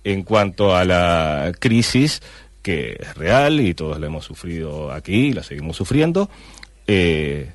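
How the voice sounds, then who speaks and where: A man's voice: sits low, very animated, unhurried, no echo. A middle-aged man from Argentina, a cartoonist guest answering radio interview questions with many fillers and restarts.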